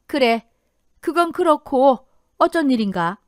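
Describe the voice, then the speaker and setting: A dignified older mother in a radio drama, played by a woman. She is protective and heartfelt, emphatic and high in pitch, with lively swings. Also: unhurried, no echo, studio quality. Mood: brusque.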